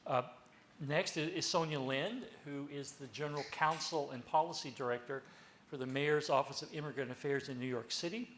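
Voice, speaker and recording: A man moderating a university panel discussion, introducing the speakers in a measured, polite way with occasional fillers. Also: a little high, a bit bright, slight background noise.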